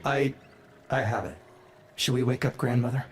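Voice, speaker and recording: A man's voice: mid-range voice, fairly even intonation, moderate pace, lightly acted. A man playing a reluctant, needling young son in a video game cutscene. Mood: defensive. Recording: loud ambient noise behind the voice.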